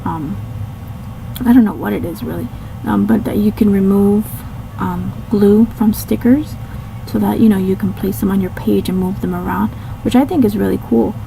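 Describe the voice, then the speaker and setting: A young woman talks through her crafting in a relaxed, rambling way, full of ums and little apologies. Her voice is raspy and a touch hoarse from a cold, with a heavy gravelly fry and a dark, mellow tone. A faint hiss sits under the recording. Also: mid-range voice, moderate pace, rough recording. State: slightly hoarse. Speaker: scrapbooking channel host. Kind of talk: casual voice-over narrating a craft video.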